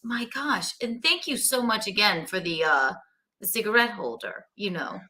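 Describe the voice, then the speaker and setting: A woman's voice: mid-range voice, lively intonation, normal speed, pushed and bright. A young woman from South Carolina, a stand-up comedian chatting as a guest and joking at her own expense. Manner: jokey, self-deprecating, rambling. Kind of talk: casual interview chat.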